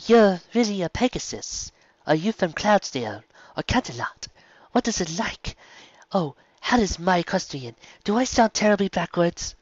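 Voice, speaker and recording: A man voicing a young female zebra who is curious, naive and excitable, with an earnest, inquisitive manner. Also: high-pitched, moderate pace, dry recording, highs cut off, voice pushed up. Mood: eager, curious.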